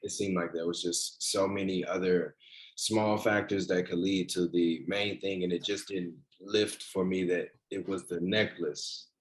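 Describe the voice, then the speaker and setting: A man's voice: sits low, steady tone, a little slow, few pauses, low-quality recording. A male actor giving his thoughts informally in a group video call, rambling as he thinks aloud. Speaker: actor at a table read. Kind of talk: casual group discussion. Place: indoors on a virtual meeting.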